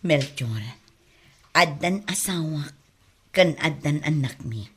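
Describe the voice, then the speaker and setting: A woman with an Ilocano accent playing a weary, scolding middle-aged mother in an audio drama, with a husky voice. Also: sits low, expressive, slow pace, fairly bright tone, full character voice. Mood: earnest, troubled.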